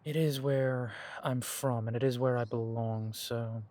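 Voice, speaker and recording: An easygoing young werewolf man, as a man acts him out talks straight to the listener in an audio roleplay. His voice sits at a middling pitch, and his manner is relaxed and reminiscing, with frequent soft chuckles. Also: measured pace, lightly acted. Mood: reflective.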